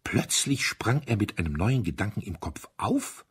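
A man narrating a mystery story from a script in an expressive, dramatic storytelling manner. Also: on the low side, normal speed, slightly rough. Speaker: audiobook narrator.

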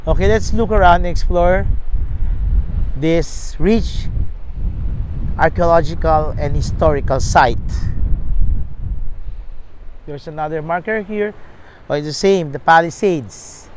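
Brief: thirties man, fairly high voice, tour vlogger reading markers aloud outdoors. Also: slow, long pauses, a little hiss or hum, low-quality recording. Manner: plain explanatory, reads signs aloud, self-corrects. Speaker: travel vlogger. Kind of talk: walking tour narration. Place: outdoors at a riverside historic site. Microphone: lapel mic, selfie camera at arm's length.

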